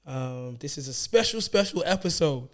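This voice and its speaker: A man's voice: fairly high pitch, animated, normal speed, a bit bright. A young man hosting a podcast, asking questions and encouraging his co-host in an easygoing way. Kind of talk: casual chat.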